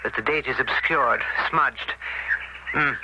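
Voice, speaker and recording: Methodical police inspector, noisy old recording. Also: male voice, mid-range voice, vocal fry. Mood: pondering. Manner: deliberate, musing, with hesitations and muttered asides. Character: methodical, deliberate male Scotland Yard police inspector. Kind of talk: radio drama acting. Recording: dull, heavily noisy old radio recording.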